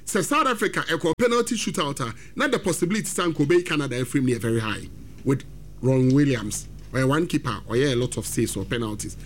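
A man with a Ghanaian accent hosting a football talk segment, animated and switching between English and Twi. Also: mid-range voice, a little fast. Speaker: sports radio host.

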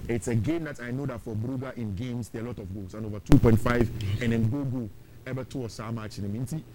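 A man's voice: medium pitch, fast talker, very noisy. A man with a Ghanaian accent giving opinionated, conversational sports preview commentary as a football pundit, slipping into local-language phrases.

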